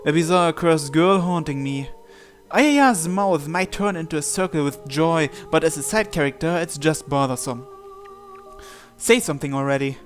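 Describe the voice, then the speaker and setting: A man's voice: a little high, animated, normal speed, faint music behind. A man hosting a game playthrough, reading the story aloud expressively and adding casual commentary. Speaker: gamer hosting a visual novel playthrough.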